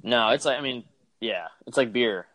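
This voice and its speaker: A man's voice: medium pitch, normal speed, fairly bright tone, a little hiss or hum. A young man co-hosting a wrestling podcast, laid-back and full of fillers, laughing easily. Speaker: podcast co-host. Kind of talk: casual chat.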